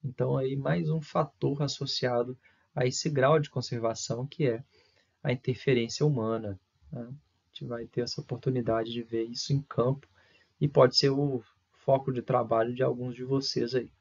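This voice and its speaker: A man's voice: medium pitch, steady tone, normal speed, gravelly, slightly rough, lo-fi sound. A man from in Brazil, lecturing to students in a hesitant way, with many fillers, restarts and tag questions. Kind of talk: recorded university lecture.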